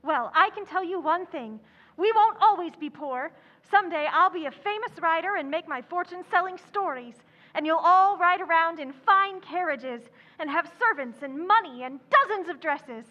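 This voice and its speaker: A bold teenage tomboy played by a woman in an old-time radio play. The voice is very high and forceful, pushing along with bossy, theatrical eagerness. The recording is slightly muffled. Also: lively intonation, moderate pace, exaggerated voice. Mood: eager, bossy. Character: bold, tomboyish teenage girl dreaming of writing fame. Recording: dull lo-fi sound, faint music behind.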